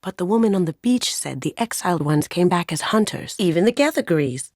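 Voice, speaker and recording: A woman's voice: deep voice, dry recording. Video game companion, sly young female thief, playful, wry, understated, loyal, very close dry sound.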